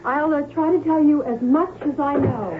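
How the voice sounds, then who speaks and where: A woman's voice: high-pitched, normal speed, natural voice. A woman playing an anxious, hesitant flight attendant in an old-time radio drama. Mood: anxious, reassuring. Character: anxious female flight attendant calming passengers. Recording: tinny, noisy old radio recording.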